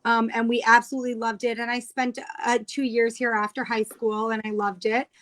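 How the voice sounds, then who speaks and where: Higher-voiced chatty American woman. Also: level tone, a little fast, fairly bright tone. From New York, United States. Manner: chatty, explanatory, detailed. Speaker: guest, cookbook author. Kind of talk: casual interview chat.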